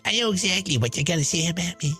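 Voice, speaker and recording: A man's voice: high voice, expressive, fast talker, pushed and bright, soft background music. A man voicing a brash, smug, chain-smoking woman in a dramatized monologue, sneering, profane and boastful. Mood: mocking, indignant.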